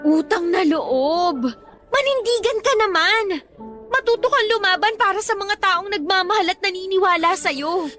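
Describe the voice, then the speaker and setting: A woman's voice: very high-pitched, animated, normal speed, fairly bright tone, soft background music. A woman acting a devoted, stubbornly loyal young girlfriend in a Tagalog radio drama, with an earnest, pleading delivery. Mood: upset, urging.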